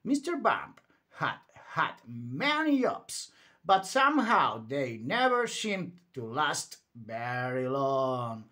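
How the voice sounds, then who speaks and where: Man, children's storyteller, theatrical read-aloud, slow pace, swooping expressive intonation. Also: a little high. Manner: theatrical, emphatic delivery for young children. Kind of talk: animated bedtime read-aloud narration.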